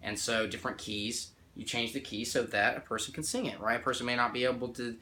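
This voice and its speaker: A man's voice: medium pitch, steady tone, fairly quick, sharp tone, slight background noise. Easygoing man teaching music theory. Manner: easygoing, often checks in with "right?"; restarts and corrects himself mid-count. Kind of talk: casual guitar and music theory lesson. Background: guitar playing along.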